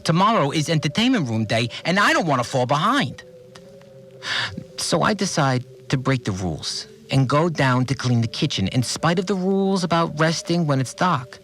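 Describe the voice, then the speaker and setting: An actor playing an anxious, obsessive cleaner who fretfully recounts events in short, plain sentences in an audio drama monologue. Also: male voice, fairly high pitch, very animated, normal speed, a bit bright, slight background noise. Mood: insistent, agitated. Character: anxious, obsessive male cleaner confined in a mysterious place. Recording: music bed under the voice.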